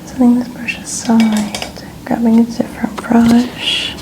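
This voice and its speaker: A woman narrating a personal routine in a gentle, breathy ASMR whisper, softly describing each step without hurry. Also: fairly high pitch, little pitch movement, slow. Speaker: ASMR content creator.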